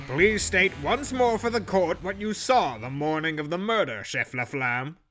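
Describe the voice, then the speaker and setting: A man playing a genial, muddled judge in an audio drama, with a pompous, deliberate manner. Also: fairly high pitch, animated, over music. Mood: pompous, curious.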